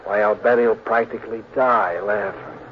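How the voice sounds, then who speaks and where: An actor playing a smooth, bossy American gambler and swindler with slangy speech, in 1940s radio crime drama style. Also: male voice, fairly low pitch, normal speed, close to an everyday voice. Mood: smooth, persuasive. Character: slick menacing male big-time gambler and swindler. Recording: tinny noisy old radio broadcast.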